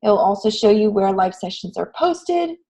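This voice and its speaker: A woman with a raspy voice, hoarse from a cold, teaching a live online class in an encouraging, conversational way. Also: mid-range voice, big pitch swings, moderate pace, dark tone. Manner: encouraging, addresses students with frequent questions. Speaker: online graphic design instructor. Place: online class session, screen shared.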